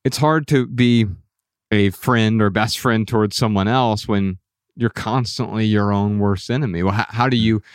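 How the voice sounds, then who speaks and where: Measured American man hosting, dry close sound. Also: fairly low pitch, smooth, no room sound. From Dayton, Ohio, United States. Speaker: podcast host. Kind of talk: conversational podcast discussion. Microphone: close studio podcast mic.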